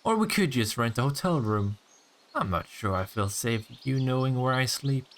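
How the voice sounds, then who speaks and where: A man's voice: mid-range voice, lively intonation, a little hiss or hum, lightly acted. Man playing a smug, teasing vampire, swooping intonation. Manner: sardonic, teasing, swearing, drawn-out taunts. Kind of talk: intimate audio roleplay acting.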